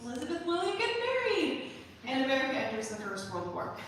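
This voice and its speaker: A woman introducing her musical at a staged reading, explaining the story in a clear, prepared way. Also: sits high, very animated, quick pace, fairly bright tone. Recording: echoey hall from far off, faint noise.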